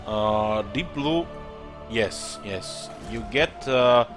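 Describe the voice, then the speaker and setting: A man's voice: medium pitch, expressive, extremely slow, over music. Croatian-accented man streaming a strategy game. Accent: Croatian-influenced English. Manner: thinking aloud with frequent "uh" fillers. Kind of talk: live strategy game commentary.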